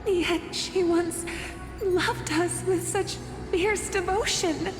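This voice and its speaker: A woman's voice: very high voice, steady tone, takes it slowly. An actress playing a frightened townswoman who begs for mercy. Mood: pleading. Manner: pleading, desperate. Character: frightened woman of the sky folk begging for mercy. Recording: echoey reverb with game music.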